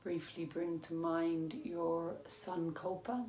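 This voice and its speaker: Female meditation guide, low register, calm and measured with long pauses, roomy sound. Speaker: yoga teacher. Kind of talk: guided meditation, yoga nidra instruction. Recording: roomy echo with faint background noise.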